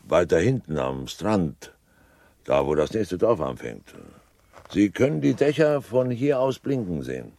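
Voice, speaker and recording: A very low, dry-sounding man of the seaside. His pitch moves in lively swings as he gives deferential, leisurely advice. He comes across as folksy and obliging. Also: a little slow, no room sound, exaggerated voice. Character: obliging male seaside local advising a lady on lodgings. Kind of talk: literary radio play acting.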